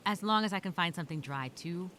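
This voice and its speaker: A woman voicing a resilient, chatty female castaway telling a survival diary, expressive and talking to herself. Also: on the low side, quick pace, slight background noise, lightly acted. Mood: practical, musing. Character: stranded castaway woman journaling, resilient, chatty, wryly upbeat. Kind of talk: first-person diary storytelling, acted.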